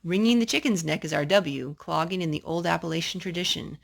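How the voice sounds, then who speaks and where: A woman's voice: fairly low pitch, fairly quick, vocal fry. A woman hosting a children's storytime, reading picture books aloud and chatting with a young child through simple questions and asides. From North Carolina.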